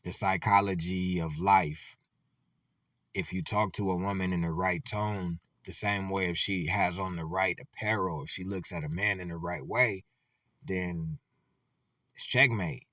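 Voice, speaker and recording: A man giving casual, slangy commentary on dating, animated and blunt, sometimes dropping into a deep, resonant voice. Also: a little slow, no room sound, clean studio sound, recorded from a distance. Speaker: commentator reacting to a clip.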